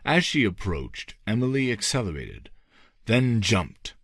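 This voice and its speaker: Deep-voiced man narrating an audiobook. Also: big pitch swings, a little slow, fairly bright tone, no echo, studio quality. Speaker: audiobook reader. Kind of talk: dramatic scripted narration.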